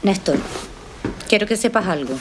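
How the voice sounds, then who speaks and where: An actress playing a skeptical, resolute woman doctor in an audio drama, speaking in a measured, probing way. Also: medium pitch, expressive, noisy background, lightly acted. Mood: firm, warning.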